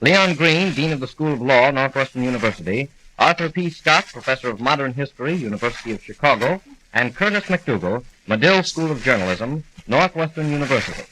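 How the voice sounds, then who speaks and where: A man's voice: mid-range voice, expressive, fairly quick, pushed and bright, rough recording. An American man reading scripted announcements in 1940s radio style on an old broadcast recording.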